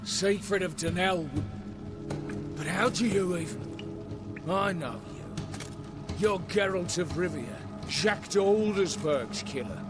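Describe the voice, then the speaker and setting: Slow, embittered fallen knight. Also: male voice, sits high, over music. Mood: outraged. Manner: solemn, aggrieved, oratorical. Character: embittered, proud rogue knight of a fallen order. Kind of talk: video game voice acting.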